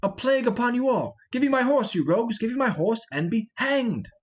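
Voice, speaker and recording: Brief: a man as fat old rogue knight, exclamatory and complaining, very animated delivery, Shakespearean stage reading, dull lo-fi recording. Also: very high-pitched, brisk, pitched above the performer's own voice. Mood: outraged. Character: blustering, grumbling, fat older thief and knight.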